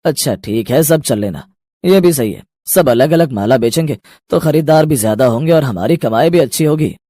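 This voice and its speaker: A man voicing a brisk, agreeable, practical garland-making father in an animated moral story. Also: mid-range voice, no room sound, clean studio sound, natural voice. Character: practical father who makes and sells bead garlands.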